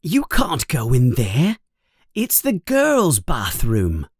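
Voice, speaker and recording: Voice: mid-range voice, huge pitch swings, takes it slowly, husky, no room sound, clean studio sound, pitched above the performer's own voice, heavily acted. Narrator as a whiny, indignant schoolboy. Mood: warning. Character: jumpy, indignant, easily exasperated schoolboy. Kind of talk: storybook character voice.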